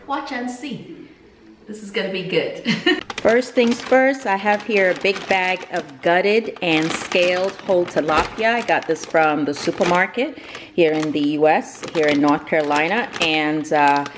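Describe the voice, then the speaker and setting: A woman's voice: lowish voice, echoey room, noisy background. A woman in her forties, a home cooking vlogger, talking casually and upbeat while she demonstrates a recipe, laughing often. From Nigerian, Jamaican and American. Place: home kitchen indoors, garden outdoors at first.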